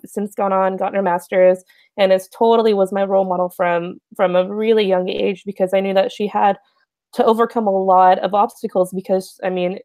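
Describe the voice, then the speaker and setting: Soft-spoken young woman panelist. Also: mid-range voice, no echo. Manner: soft-spoken, many fillers. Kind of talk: casual panel discussion.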